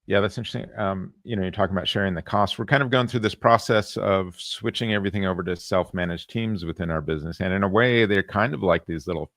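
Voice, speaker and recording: A man hosting a casual interview, thinking aloud in a halting, reflective way. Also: sits low, fairly bright tone, no room sound, clean studio sound. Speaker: podcast host.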